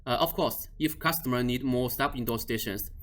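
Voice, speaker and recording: A man at an ordinary middle pitch walks through a software configuration step by step. He talks plainly and patiently, often restarting sentences and filling pauses with "uh". His phrasing is slightly non-native. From Australia or New Zealand region office. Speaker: product trainer. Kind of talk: screen-recorded software tutorial.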